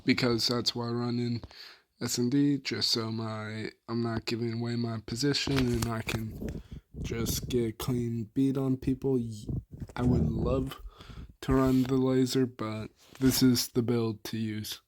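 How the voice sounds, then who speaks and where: Hoarse, laid-back young man gamer. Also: medium pitch, level tone, a little slow, background noise. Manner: laid-back, filler-heavy, trailing off. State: hoarse, soft on mic. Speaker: gaming YouTuber. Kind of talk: casual weapon build rundown.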